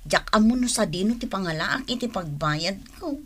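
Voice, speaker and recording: A woman's voice: medium pitch, lively intonation, moderate pace, fairly bright tone, breathy edge. An actress playing a debt-burdened married woman in an Ilocano radio drama, with a plaintive, sighing delivery and an Ilocano accent. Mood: troubled. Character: distressed married woman burdened by debts, remorseful wife.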